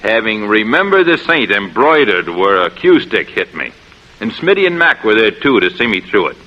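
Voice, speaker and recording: An actor playing a smug, threatening crime boss with terse gangster slang in a 1940s radio drama. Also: male voice, lowish voice, big pitch swings, normal speed. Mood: wary, tough. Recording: muffled old radio recording.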